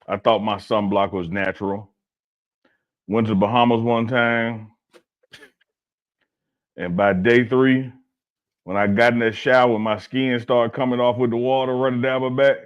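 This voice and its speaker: A man's voice: mid-range voice, steady tone, normal speed, pauses often, smooth, muffled recording. A man from Alabama, co-hosting a casual sports chat, joking and teasing his partner. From Selma, Alabama. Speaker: co-host.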